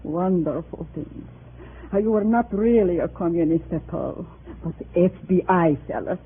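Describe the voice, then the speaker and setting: Anxious old-time radio mom, plaintive broken phrasing, muffled 50s recording, little bit of hiss. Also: female voice, on the low side, unhurried. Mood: wistful. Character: anxious, wounded immigrant mother of a grown son. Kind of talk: 1950s radio melodrama acting. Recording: muffled vintage radio recording, faint noise.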